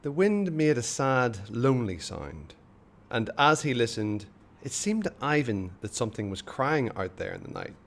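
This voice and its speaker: A man narrating a story as an expressive read-aloud, with measured, dramatic phrasing and pauses. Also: medium pitch. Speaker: storyteller reading a children's book aloud.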